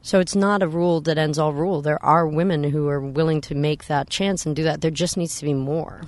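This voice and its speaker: A woman in her thirties with a low voice, talking bluntly and candidly as a guest in a casual chat, swearing freely. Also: fairly even intonation, fairly quick, a little mellow.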